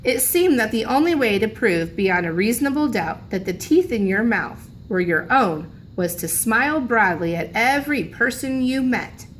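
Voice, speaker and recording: A woman's voice: on the high side, lively intonation, moderate pace, hardly any pauses, slight background noise. A woman reading a children's storybook aloud like a teacher, expressively, lingering on key phrases for emphasis.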